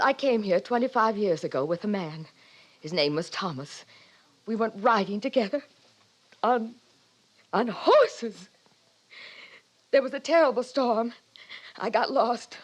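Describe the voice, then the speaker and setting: A woman's voice: on the high side, measured pace, long pauses. An actress playing a desperate, pitiful middle-aged outcast mother in a 1950s radio drama, tearful, pleading and rambling. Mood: tearful, bitter.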